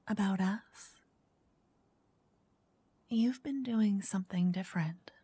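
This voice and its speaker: A woman doing a soft-spoken ASMR roleplay as a flirty dungeon master. She teases, then whispers close into each ear in turn. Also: mid-range voice, breathy edge, no room sound. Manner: teasing, flirty, switching between whispers and soft speech. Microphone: close binaural mic.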